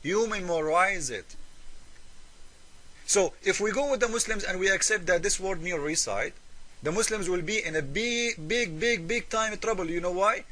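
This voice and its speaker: Man, high-pitched voice, English, argumentative debater, emphatic, repeats himself, forceful delivery. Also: poor audio. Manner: emphatic, repetitive, with rhetorical questions; non-native English with irregular grammar. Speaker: religious debater and presenter. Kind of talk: argumentative polemical commentary.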